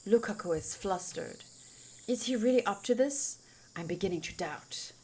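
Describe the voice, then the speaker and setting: A young woman reading visual novel narration aloud as a streamer, expressive and informal, with casual asides. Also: medium pitch, moderate pace, slight background noise.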